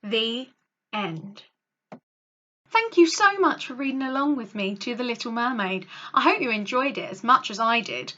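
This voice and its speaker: A woman reading a children's story aloud as host, with a dramatic, expressive read-along delivery. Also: on the high side, normal speed, muffled recording. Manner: dramatic, animated delivery for young listeners.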